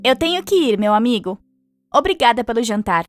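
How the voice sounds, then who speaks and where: A woman voicing a gentle, patient, polite stork in a children's cartoon, earnest and expressive, with a Brazilian accent. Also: on the high side, fairly quick, music in the background. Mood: polite, upset.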